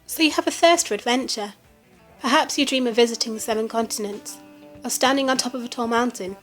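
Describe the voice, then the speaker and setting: A woman reading reflective travel prose aloud in a measured way, with soft music behind. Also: fairly high pitch, low-quality recording. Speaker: narrator.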